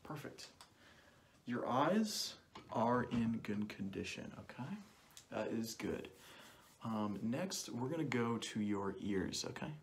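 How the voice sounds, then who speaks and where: A young man speaking softly through a cloth mask in an ASMR roleplay as an examiner, often dropping into whispers and repeating short phrases close to the mic. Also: medium pitch, lively intonation, takes it slowly. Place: small plain indoor room. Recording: clearly noisy home recording with clicks.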